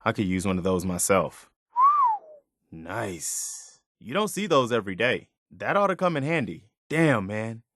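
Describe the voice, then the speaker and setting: An actor playing an earnest, loyal American militia companion in a video game, speaking in an easygoing, plainspoken way. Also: male voice, fairly low pitch, big pitch swings, measured pace, fairly bright tone, no room sound, studio quality, heavily acted. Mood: friendly. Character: earnest, principled militia companion, adult man, loyal and caring.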